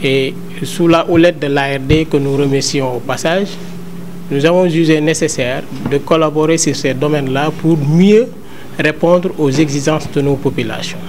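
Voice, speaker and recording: A man's voice: fairly high pitch, steady tone, normal speed, a little mellow, slight background noise. A man with a Senegalese French accent giving a formal statement as a local official, in official phrasing.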